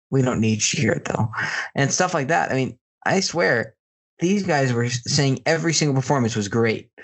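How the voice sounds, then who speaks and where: Man, American accent, casual banter, opinionated talk show panelist, medium pitch.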